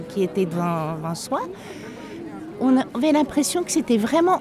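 A woman's voice: a little high, mellow. A woman answering a street interview, thinking back in a reflective, anecdotal way. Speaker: passerby interviewed. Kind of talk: vox pop interview.

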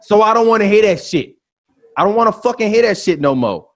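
A man's voice: high voice, animated, brisk. A man about thirty, a podcast co-host, ranting in a heated, profane, repetitive way during a casual debate. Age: 30s. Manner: ranting, profane, repeats himself insistently.

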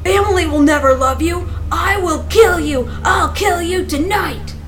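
A woman's voice: very high-pitched, measured pace, a bit bright, slight background noise, voice pushed up. A woman voicing a vicious, raging male voice in someone's head, bellowing hateful accusations. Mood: threatening rage.

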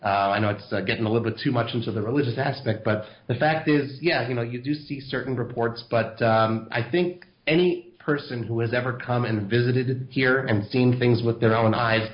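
A man's voice: mid-range voice, a little fast, hardly any pauses. An American man answering interview questions casually, hesitant and full of fillers and restarts. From United States. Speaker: interview guest. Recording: roomy, muffled sound.

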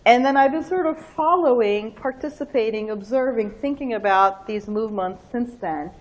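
A middle-aged woman, giving a book talk in a lively, thinking-aloud way with laughing asides. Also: on the high side, normal speed, poor audio. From Turkey. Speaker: invited book talk speaker. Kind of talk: academic talk with anecdotes.

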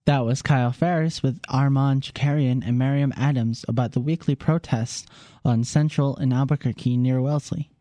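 A man's voice: mid-range voice, steady tone, normal speed, warm tone, no room sound, clean studio sound. A man hosting youth radio, introducing pieces and guests in a friendly, plain way.

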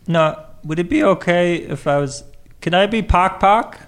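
Man playing meek, high-voiced teenage son. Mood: meek, wounded. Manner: timid, halting, repeats himself. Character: meek, insecure sixteen-year-old son. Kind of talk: improvised radio theater scene.

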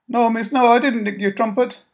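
A man's voice: steady tone, a little fast. A man voicing a frightened, pleading young working-class boy caught as a thief. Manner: pleading, anxious, high-pitched.

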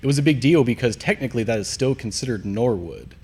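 A man's voice: medium pitch, animated, quick pace, a little mellow, slightly rough. An American man from the Cincinnati area, talking casually as a guest in an interview. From Cincinnati area, Ohio, United States. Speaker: podcast guest, amateur CrossFit athlete.

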